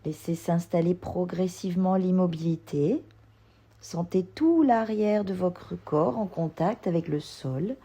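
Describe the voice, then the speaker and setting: A woman yoga instructor leading a guided relaxation meditation, giving gentle, soothing instructions. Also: lowish voice, a little slow, breathy edge.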